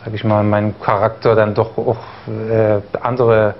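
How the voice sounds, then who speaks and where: A man from East Germany speaks as a guest on a studio talk show, his voice sitting low. He hesitates, stutters now and then and fills the gaps with ums. The broadcast audio is a little dull, with faint noise underneath. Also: flat delivery, normal speed. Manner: stutters at times, hesitant with many fillers. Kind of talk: studio interview.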